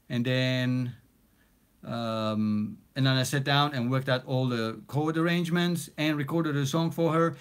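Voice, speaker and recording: 40s guy with a foreign accent rambling on a phone livestream in his home studio, lots of ums. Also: a little high. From Australia. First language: not English. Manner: chatty, reads out viewer comments with many fillers. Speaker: studio owner live-streaming. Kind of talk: casual live-stream chat. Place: small home recording studio, indoors. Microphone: phone camera at arm's length.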